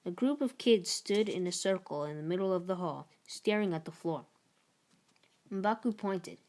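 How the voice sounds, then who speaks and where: A woman narrating a children's book aloud in an expressive read-aloud style. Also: lowish voice, moderate pace.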